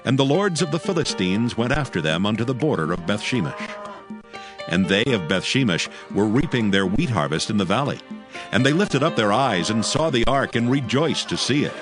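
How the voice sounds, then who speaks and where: A man's voice: fairly low pitch, very animated, normal speed. A man narrating a dramatized Bible reading in a solemn, formal storytelling manner. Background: background music.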